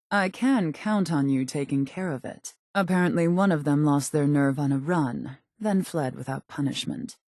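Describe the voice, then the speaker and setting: A woman's voice: low voice, a little mellow, dry recording, clean studio sound. A woman playing a cool, ruthless gang boss in a video game, speaking in a controlled, clipped, politely menacing way. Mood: cool, businesslike. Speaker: video game character giving out jobs. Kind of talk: scripted game dialogue.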